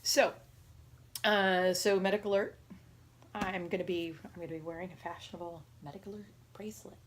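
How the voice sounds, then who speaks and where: A woman around forty with a raspy voice, talking casually and haltingly in a personal health vlog. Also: on the low side, steady tone, slight background noise. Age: 30s or 40s. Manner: halting, rambling, conversational. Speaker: patient video diarist.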